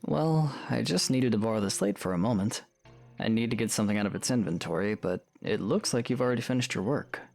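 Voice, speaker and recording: An actor playing a calm, protective young hero in an audio drama, terse and matter-of-fact. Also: male voice, lowish voice, quick pace, creaky, faint music behind. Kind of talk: fan audio drama acting.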